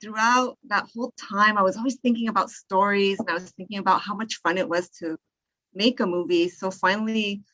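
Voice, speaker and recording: A woman's voice: mid-range voice, steady tone, brisk, fairly bright tone, rough recording. A woman interviewed as a film writer, telling her own story conversationally. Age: 30s or older.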